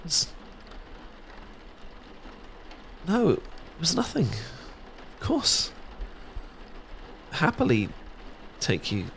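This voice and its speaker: A man's voice: fairly high pitch, huge pitch swings, slow, a bit bright, slight background noise, heavily acted. A British man playing a shy, sweet best friend secretly in love, stammering and hesitant in a romantic ASMR audio roleplay. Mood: tender, bashful.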